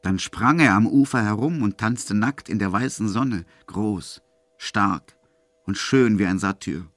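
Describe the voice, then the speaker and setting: A man's voice: lowish voice, a little slow, a bit bright, no room sound. A man narrating a literary story for a German audio drama as scripted prose.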